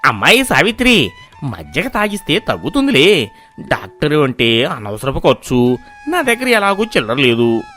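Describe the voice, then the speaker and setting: A man's voice: fairly high pitch, huge pitch swings, moderate pace, pushed and bright, faint music behind, full character voice. A man voicing a stingy, scheming old grandfather in a moral story, bossy and dismissive as he haggles. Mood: evasive. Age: older. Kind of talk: animated moral-story voice acting.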